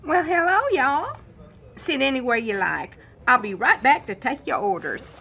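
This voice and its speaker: An actress in an audio drama playing a folksy, no-nonsense diner waitress with a homey, matter-of-fact manner. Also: female voice, high voice, expressive, measured pace, vocal fry, sharp tone, slight background noise, exaggerated voice. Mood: welcoming. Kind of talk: audio fiction drama acting.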